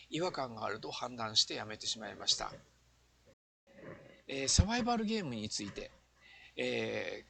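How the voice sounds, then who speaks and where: Gravelly older man, bright voice. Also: medium pitch. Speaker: former amateur filmmaker, interviewee. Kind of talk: reflective retrospective interview talk.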